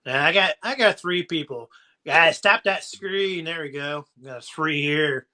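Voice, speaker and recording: A man's voice: a little high, unhurried, sharp tone. A man with a southern Ohio American accent, hosting a casual live chat and giving rambling, folksy encouragement. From Ohio, USA. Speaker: motivational live-stream host.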